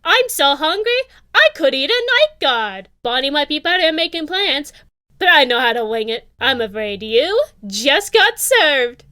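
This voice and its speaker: A woman's voice: high-pitched, sing-song, measured pace, pushed and bright, full character voice. A woman voicing a sassy, ravenous animatronic chicken for a video game, punning and exclamatory. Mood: hungry, excited. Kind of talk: video game character voice lines.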